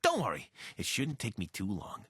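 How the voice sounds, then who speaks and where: An actor playing an anxious, wry deserter soldier and pilot in a video game, speaking in a conversational, earnest way. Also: male voice, lowish voice, huge pitch swings, normal speed, fairly bright tone, breathy edge, dry recording, studio quality, heavily acted. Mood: easygoing. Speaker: voice actor for a companion character. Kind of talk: video game character dialogue.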